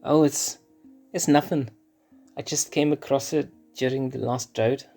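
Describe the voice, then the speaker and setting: A man playing a bashful young lion cub with a raised, boyish voice. He speaks hesitantly and gently, urging the sensible choice. Soft music sits behind the storybook reading. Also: mid-range voice, fairly even intonation, a little slow, gravelly, pitched above the performer's own voice. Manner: hesitant, reasonable.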